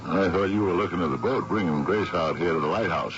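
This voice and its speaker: An actor playing a crotchety old retired sea captain with a gravelly voice and steady, plain-spoken manner in radio drama. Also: male voice, low-pitched, a little fast, noisy background. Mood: gruff, matter-of-fact. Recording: muffled old radio recording with loud music.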